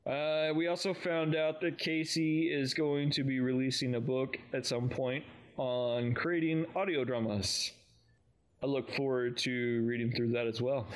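A man's voice: mid-range voice, steady tone, measured pace. Male fan podcast co-host, casual chat.

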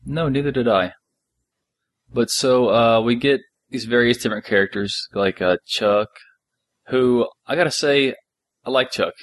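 A man's voice: medium pitch, measured pace. A man chatting casually as a podcast co-host, discussing an audio drama.

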